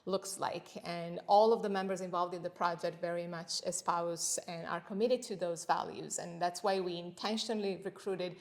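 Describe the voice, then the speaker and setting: A middle-aged woman from Italy, Italian her first language, talks through teaching and equity in a calm, measured interview manner. Her voice sits a little low for a woman, and she pauses often to find her words. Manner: thoughtful, measured, with frequent pauses and fillers. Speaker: senior lecturer, interview guest. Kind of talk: academic interview conversation.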